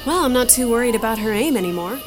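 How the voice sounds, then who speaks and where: A woman's voice: fairly high pitch, lively intonation, fast, natural voice. A woman playing a tough, sardonic space adventurer in an audio drama, with a wry, confident delivery. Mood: guarded, wry. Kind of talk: audio drama character acting. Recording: music playing behind the voice.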